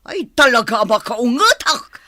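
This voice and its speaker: A woman's voice: on the high side, very animated, a little slow, pushed and bright, no echo, clean studio sound, exaggerated voice. A woman acting a stern, imperious, middle-aged wealthy mother in an Ilocano radio drama. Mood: angry, exasperated. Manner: imperious, scolding. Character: stern, domineering wealthy mother, quick to anger.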